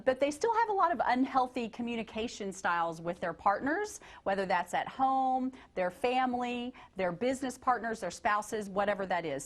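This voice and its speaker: Upbeat middle-aged woman hosting a TV show. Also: mid-range voice. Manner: upbeat, encouraging interviewer. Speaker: TV host and nurse practitioner. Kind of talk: TV interview hosting.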